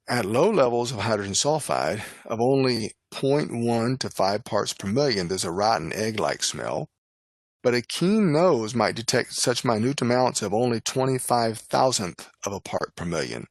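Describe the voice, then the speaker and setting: Man, fairly deep voice, formal instructional delivery, scripted lecture narration, dry sound without room echo. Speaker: lecturer, nurse and poison specialist.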